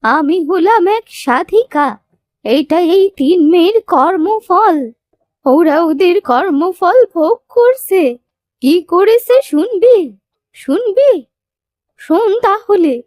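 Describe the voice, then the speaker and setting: A woman voicing a stern, commanding, vengeful old female ascetic in a cartoon, with drawn-out pronouncements. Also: very high voice, expressive, a little mellow, no echo, studio quality, heavily acted. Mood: menacing. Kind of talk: cartoon story dialogue.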